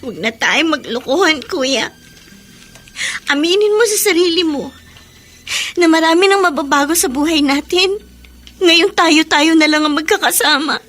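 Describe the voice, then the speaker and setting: Very high-voiced Filipino teenage girl. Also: lively intonation, normal speed, long pauses, fairly bright tone. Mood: worried. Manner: earnest, plaintive. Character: teenage daughter, anxious, stubborn, ambitious student. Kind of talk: Filipino radio soap acting.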